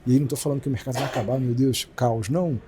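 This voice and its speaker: A Brazilian man, a company president, explaining fast and animatedly with many restarts in a casual business interview. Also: medium pitch, steady tone, warm tone, slight background noise. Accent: Brazilian Portuguese.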